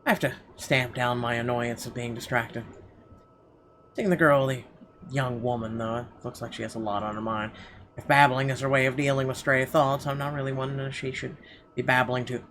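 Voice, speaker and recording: A man playing a game on stream, reading its narration aloud haltingly and reacting casually and bluntly. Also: mid-range voice, steady tone, fairly bright tone. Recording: clearly audible background noise.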